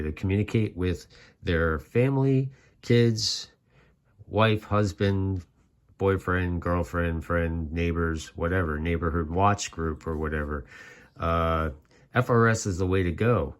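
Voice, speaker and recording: Man, low voice, informal host explaining things conversationally, folksy asides. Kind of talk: casual explainer.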